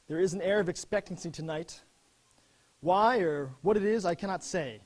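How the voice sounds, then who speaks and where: An actor playing an earnest young American lieutenant in a dramatic, expressive reading of his letters home from war. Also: high-pitched, moderate pace. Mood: hushed anticipation.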